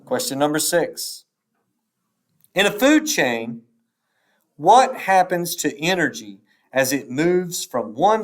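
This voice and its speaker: A male teacher reads a test aloud at a slow, careful pace. His pitch moves up and down noticeably as he sets out each question and option, pausing between them. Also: fairly bright tone. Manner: deliberate, instructional, pausing between answer choices.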